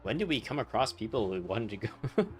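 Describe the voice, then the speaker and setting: A man's voice: medium pitch, sing-song, a little fast. A man hosting a casual game playthrough, rambling off into joking asides. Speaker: gaming video host. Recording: game music behind the voice.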